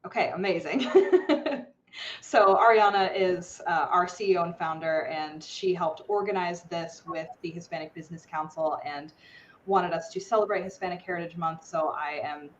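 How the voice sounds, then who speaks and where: A woman's voice: fairly low pitch, moderate pace, muffled recording, a little hiss or hum. A woman hosting an interview podcast, upbeat and enthusiastic with her guests. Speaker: podcast host. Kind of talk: casual interview.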